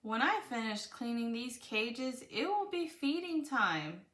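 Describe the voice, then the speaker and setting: A woman's voice: fairly high pitch, expressive, unhurried, fairly bright tone. A woman reading as an earnest young boy. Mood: worried. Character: young boy pet-sitter, earnest and worried. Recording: echoey room.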